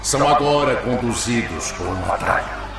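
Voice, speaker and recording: A Brazilian man acting a grave, commanding spirit rescue leader in a radio drama, with a booming, dominant voice. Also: animated, normal speed, natural voice. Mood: firm, commanding. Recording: echoing voice under very loud music.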